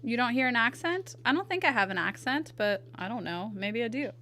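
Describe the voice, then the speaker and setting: A woman with a stuffy nose, chatting casually with her viewers in quick asides while she draws on stream. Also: a little high, lively intonation, pushed and bright, soft background music. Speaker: art streamer. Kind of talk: casual chat while drawing.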